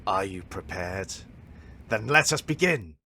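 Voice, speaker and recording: Casting: male actor as proud, stern dojo master, deep-ish and formal, measured teacher's delivery, slight noise and ambience behind. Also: very animated, bright, forceful tone, full character voice. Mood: challenging. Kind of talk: fan audio drama acting.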